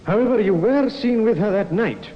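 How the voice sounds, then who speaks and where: An actor playing a shrewd, avuncular gentleman barrister in a 1950s radio drama, formal and deliberate. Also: high voice, lively intonation. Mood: paternal, sceptical. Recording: echoey, dull vintage radio recording.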